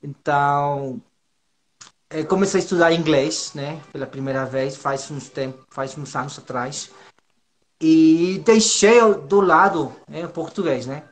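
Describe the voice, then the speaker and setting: A man from northern Mexico, a native Spanish speaker with a Mexican accent, talks casually as an interview guest, nervous and hesitant, often repeating himself. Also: fairly high pitch. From Monterrey, northern Mexico. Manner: hesitant, halting, with frequent repetitions and slangy tags. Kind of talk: casual informal chat.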